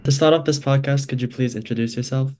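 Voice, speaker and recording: A man's voice: medium pitch, fairly even intonation, quick pace, a little mellow. A young man, a university student hosting a podcast, reading an intro and then asking eager, hedging questions full of "like". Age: young adult.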